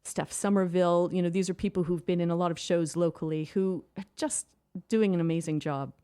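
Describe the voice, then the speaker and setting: A Canadian woman with a fairly low voice, speaking in a radio studio. She explains her work as a director with enthusiasm, in long, flowing answers. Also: fairly even intonation, fairly quick, dark tone, clear, resonant tone. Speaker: theatre director, guest. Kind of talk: radio interview.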